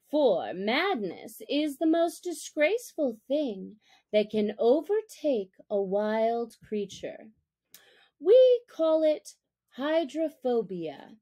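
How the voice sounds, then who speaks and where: A woman narrating a classic story as an audiobook reader, with deliberate, expressive phrasing. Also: medium pitch.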